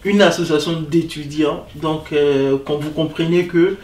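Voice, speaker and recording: A man's voice: a little high, fairly even intonation, a little mellow. Young man answering with many fillers. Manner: earnest, halting with many fillers. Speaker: association president, guest. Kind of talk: interview answers. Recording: echoey room, mic at a distance.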